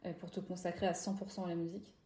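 A woman's voice: lowish voice, level tone, very fast, mellow. A woman hosting an interview, asking long questions full of fillers and restarts. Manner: hesitant, many restarts and fillers. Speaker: podcast host. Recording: echoey room.

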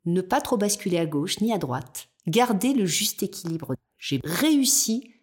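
A woman's voice: medium pitch, animated, a little slow, darkish tone, husky. A woman in her fifties, an entrepreneur guest, telling her own story in a reflective, determined way. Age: 50s. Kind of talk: personal storytelling in interview.

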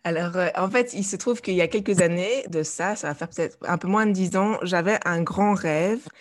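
A woman's voice: lowish voice, moderate pace. A woman, a guest in a podcast interview, talking hesitantly with many fillers and restarts. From Belgium. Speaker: guest, life coach and artist. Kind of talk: conversational interview.

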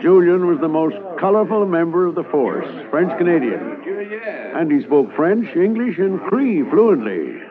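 A man's voice: a little high, big pitch swings, measured pace, crowd noise. An actor playing an older Mountie inspector fondly telling a story from his service in a 1950s radio drama. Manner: storytelling, fond and chuckling. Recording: tinny old radio transcription with music.

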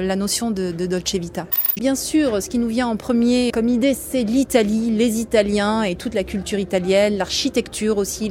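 A woman from France, a model, talking in a relaxed personal monologue on a podcast, unhurried and fond, pausing on soft hesitations as she lists small pleasures. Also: mid-range voice, a little hiss or hum.

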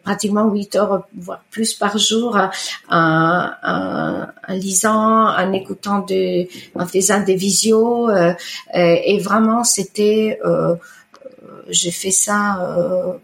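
A middle-aged woman whose first language is Italian, talking about her work as a guest in an interview, pausing often with fillers and restarts. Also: mid-range voice, vocal fry. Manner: hesitant, many fillers and restarts. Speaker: iridologist guest. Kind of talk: conversational interview. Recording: roomy echo.

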